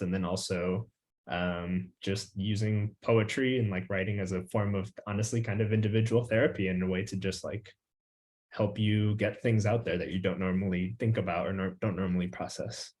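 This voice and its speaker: A young man, a featured poet, who talks in a relaxed, loose way with plenty of filler words and reads his poems with an emphatic, rising refrain. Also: fairly low pitch, a little fast. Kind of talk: casual chat and poetry reading.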